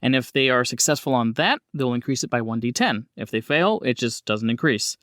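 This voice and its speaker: A man's voice: mid-range voice, expressive, a little fast, fairly bright tone, no echo, clean studio sound. A man hosting a tabletop role-playing game as game master, explaining rules and calling on players in a casual way.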